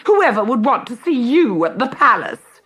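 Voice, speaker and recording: A woman's voice: on the high side, big pitch swings, normal speed, fairly bright tone, husky, voice pushed up, exaggerated voice. A woman voicing spiteful, jeering ugly stepsisters in a storybook reading, bossy and sneering. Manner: sneering, imperious.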